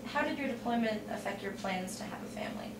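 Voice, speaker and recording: A woman's voice: a little high, fast talker, noisy background. A woman in her twenties asking interview questions in a friendly, curious way. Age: 20s. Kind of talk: sit-down interview. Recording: roomy, distant mic, music behind.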